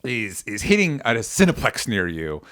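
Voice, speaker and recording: A man hosting a podcast in a casual, animated conversational way, swearing now and then. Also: fairly low pitch, moderate pace, dry recording, studio quality. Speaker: podcast host. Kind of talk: casual chat.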